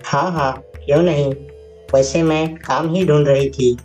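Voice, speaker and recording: A man's voice: fairly high pitch, fairly even intonation, unhurried, darkish tone, pitched above the performer's own voice, lightly acted. A man voicing a poor, proud, hardworking village mother in a cartoon moral story, plain-spoken and dignified. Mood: willing, practical. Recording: distant voice over faint music.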